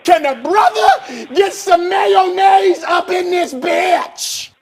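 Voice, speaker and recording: A man playing an indignant, bombastic anger translator for a president, ranting loudly in sketch comedy clips. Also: extremely high pitch, big pitch swings, slow, creaky, a crowd in the background, full character voice. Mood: outraged. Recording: noisy soundboard clips over phone.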